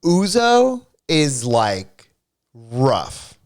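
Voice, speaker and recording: A man's voice: a little high, huge pitch swings, no room sound, studio quality. A man whose first language is Greek, co-hosting a casual live stream and chatting with viewers. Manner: chatty, quick asides to chat. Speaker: co-host.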